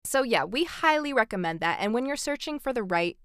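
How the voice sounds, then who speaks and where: A woman's voice: on the high side, expressive, brisk, fairly bright tone, clean tone, no echo, clean studio sound. A woman co-hosting an instructional podcast, giving informal, emphatic advice in a conversational style. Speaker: podcast co-host, professional voice actor.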